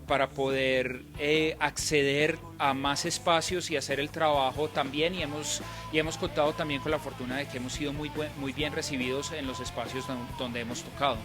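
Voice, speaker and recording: A young man born in Scotland, a native English speaker, answering interview questions in a hesitant way, with many fillers and restarts. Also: a little high. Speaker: band member.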